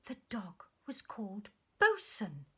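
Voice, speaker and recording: A woman's voice: medium pitch, wildly swooping pitch, slow, bright, forceful tone, breathy edge, heavily acted. Literary story role: woman plays eager yet guarded female former lover, polite and restrained.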